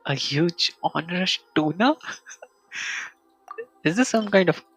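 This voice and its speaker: Slow, halting male game streamer. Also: high-pitched, animated, fairly bright tone, slightly rough, soft background music, poor audio. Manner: halting, muttering to himself, thinking aloud. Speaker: video game live streamer. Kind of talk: casual solo game stream commentary, reading item text aloud.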